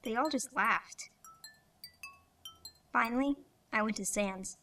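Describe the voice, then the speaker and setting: A woman voicing a soft-spoken, sincere human child in a comic dub. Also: on the high side, slow, distant mic, slight background noise. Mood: sad, sincere.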